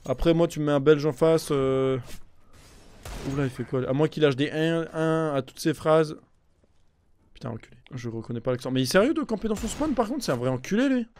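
A young man streaming a shooter game on a headset mic, chatting excitably with his viewers and swearing often. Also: fairly high pitch, brisk, a little hiss or hum. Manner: excitable, swears a lot, talks to chat. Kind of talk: live gaming stream commentary. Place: indoor room with a gaming chair.

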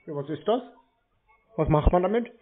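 A man's voice: fairly high pitch, lively intonation, normal speed. A man being obstinate and querulous in an argumentative phone conversation. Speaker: scam-baiting call recipient. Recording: narrow, tinny phone sound.

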